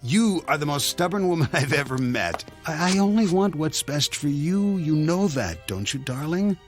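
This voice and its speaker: An actor playing a smooth, scheming husband who is glib, coaxing and sardonic, in a radio drama. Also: male voice, a little high, lively intonation, normal speed, faint music behind, close to an everyday voice. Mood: coaxing, insistent.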